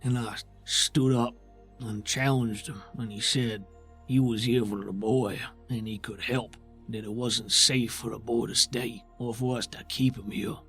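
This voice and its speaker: Man voicing a slow-talking, weary rustic creature. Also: medium pitch, steady tone, a bit bright, faint music behind. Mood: weary, uneasy. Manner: halting, folksy, ungrammatical speech. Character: slow, weary, rustic tentacled male creature, protective and plainspoken. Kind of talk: in-character tabletop roleplay.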